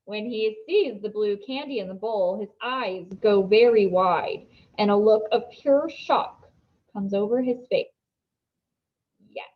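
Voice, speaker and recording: A woman reading a children's novel aloud as an expressive storybook narrator. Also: medium pitch, slow pace, phone-quality sound.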